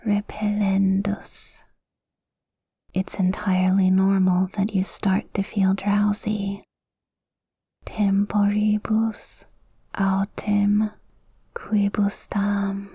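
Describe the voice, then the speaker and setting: A woman voicing a cute witch in an ASMR roleplay, softly whispering a hypnotic spell in a soothing, breathy way, close to the mic. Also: mid-range voice, no echo, exaggerated voice. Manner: slow, soothing, hypnotic, drawn-out phrasing. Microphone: close microphone, dry.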